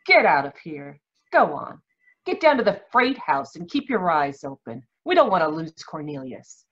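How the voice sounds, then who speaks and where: A woman playing a haughty, bitter, sharp-tongued middle-aged aunt in 1940s radio drama style, domineering and husky. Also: deep voice, very animated, normal speed, lo-fi sound, full character voice. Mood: scornful anger. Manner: domineering, scornful.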